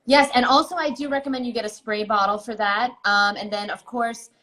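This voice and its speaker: Enthusiastic woman guest, fairly high voice. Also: steady tone, fairly quick, fairly bright tone. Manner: enthusiastic, gushing, casual. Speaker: guest, beauty ebook author. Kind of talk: casual interview chat.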